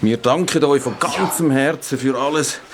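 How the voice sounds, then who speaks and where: An actor with a Swiss German accent playing a weary, kindly elder who speaks in a resigned, solemn way in a radio play. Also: male voice, medium pitch, moderate pace, background noise, close to an everyday voice.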